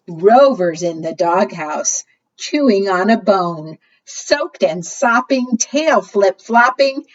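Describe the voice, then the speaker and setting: Distant-sounding woman reading to preschoolers. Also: medium pitch. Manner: asks the young listeners questions. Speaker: children's storytime librarian. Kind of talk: expressive read-aloud storytelling to preschoolers. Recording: distant, dull lo-fi microphone sound.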